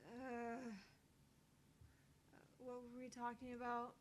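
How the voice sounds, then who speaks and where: Young female scientist, read by a woman, visual novel part: fairly high voice, earnest, easily flustered, slight background noise. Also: monotone, slow, low-quality recording. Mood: earnest explaining. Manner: stammering, earnest, explanatory. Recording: faint noise with low rumble.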